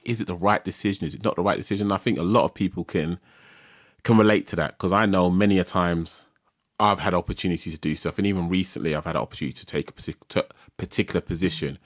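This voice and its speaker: A man's voice: deep voice, dry recording, studio quality. A man, hosting a casual podcast interview, friendly and reflective, laughing easily. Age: 40s. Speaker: podcast host.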